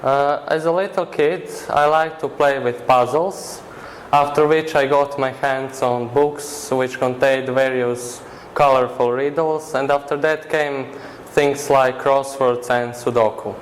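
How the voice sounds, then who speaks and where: A teenage boy from Croatia with a Croatian accent, a native Croatian speaker answering interview questions in an earnest, measured way with halting phrasing. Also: mid-range voice, fairly even intonation, slight background noise, rough recording. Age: teens. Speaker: interview guest.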